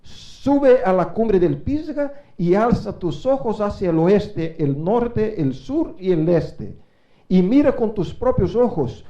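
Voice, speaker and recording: A middle-aged man preaching a sermon in a church, speaking Spanish as a second language and swinging from quiet asides to loud rising calls to the congregation. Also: high voice, highs cut off. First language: not Spanish. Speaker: guest preacher.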